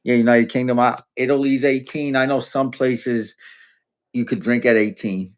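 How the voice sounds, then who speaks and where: Man, podcast host, casual political chat, rambling, restarts, mid pitch. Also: fairly even intonation, moderate pace. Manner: loose, rambling, many restarts and fillers.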